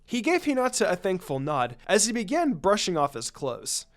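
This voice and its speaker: A man reading a story aloud as an expressive narrator of scripted fiction. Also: high voice, moderate pace. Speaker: story reader.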